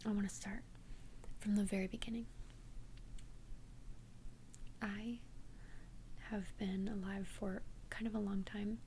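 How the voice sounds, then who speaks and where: A woman playing an immortal young vampire in an ASMR roleplay, confiding tenderly in a breathy whisper with a hesitant, earnest manner. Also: mid-range voice, flat delivery, mellow, slight background noise, natural voice, low-quality recording. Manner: hesitant, halting, earnest confession.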